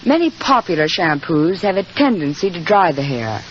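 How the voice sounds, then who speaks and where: A woman's voice: fairly low pitch, very animated, moderate pace. A woman reading scripted 1940s radio advertising in a polished, gushing sales manner. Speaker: commercial spokeswoman. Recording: muffled 1940s radio with faint noise.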